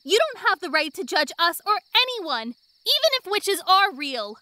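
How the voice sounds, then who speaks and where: Woman acting a very high, indignant young woman. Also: sing-song, unhurried, pushed and bright, dry recording, heavily acted. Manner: pleading, outraged. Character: frightened, indignant young female bystander accused of witchcraft. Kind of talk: audio drama acting.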